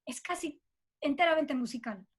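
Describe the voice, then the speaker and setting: High-voiced Bolivian woman in conversation. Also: animated, normal speed. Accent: Bolivian Spanish. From Cochabamba, Bolivia. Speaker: guest composer. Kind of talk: conversational interview about own work.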